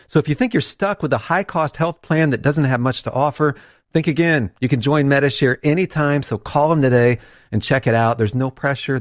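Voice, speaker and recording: A man's voice: mid-range voice, darkish tone, no room sound, clean studio sound. A man reading a radio advertisement in a casual, upbeat conversational tone. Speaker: ad reader.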